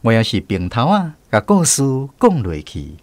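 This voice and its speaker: A man's voice: lowish voice, huge pitch swings, normal speed, background noise. A man with a Taiwanese accent telling a scripted story as a radio narrator.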